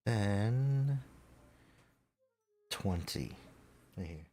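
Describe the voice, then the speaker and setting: A man's voice: lowish voice, expressive, very slow, dark tone, soft background music. A young man with a stuffy nose, chatting casually and rambling with lots of fillers while building a model kit. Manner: rambling, self-deprecating, full of fillers.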